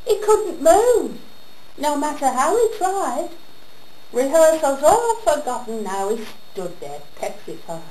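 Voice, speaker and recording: A woman performing a comic rhyming monologue in a theatrical storytelling manner with deliberate pauses, recorded in a roomy space. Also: sits high, big pitch swings, mellow. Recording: roomy echo with background noise.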